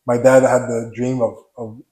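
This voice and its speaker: A young man from Nigeria, a professional basketball player, talking casually as an interview guest, relaxed and soft-spoken, often restarting and trailing off. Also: medium pitch, moderate pace, reverberant.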